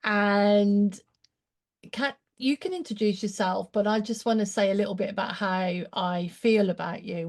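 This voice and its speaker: Female talk host, effusive and enthusiastic; mellow, relaxed timbre. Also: mid-range voice. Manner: effusive, gushing praise, animated. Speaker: show host. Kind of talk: casual interview chat.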